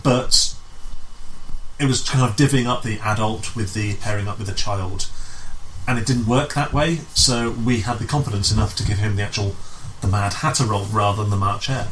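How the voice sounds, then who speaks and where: A man chatting casually as an interview guest, halting and repeating his words as he explains. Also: on the low side, fairly even intonation, fairly bright tone, a little hiss or hum, poor audio. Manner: halting, repeats himself, often says "as in". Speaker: guest, amateur pantomime writer and director. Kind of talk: casual chat.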